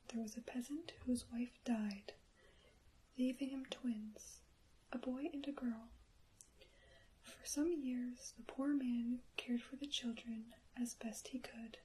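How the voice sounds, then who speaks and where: A woman whispers a fairy tale in ASMR style, slow and unhurried. Her voice is soft, breathy and mellow, and she forms each word gently and carefully. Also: a little high, fairly even intonation, a little hiss or hum, rough recording. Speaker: storyteller and ASMR host.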